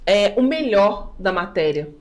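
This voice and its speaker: A woman's voice: medium pitch, sing-song, a little slow. A woman co-hosting a podcast in a casual chat, talkative, with frequent restarts and tags like "né". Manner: chatty, restarts a lot, leans on "né". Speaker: podcast co-host.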